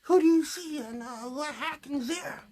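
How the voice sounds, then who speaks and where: A man's voice: extremely high pitch, moderate pace, darkish tone, pitched above the performer's own voice. A man voicing a sassy young girl puppet who talks in a blunt, childlike, hesitant way in an improvised puppet show. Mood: curious.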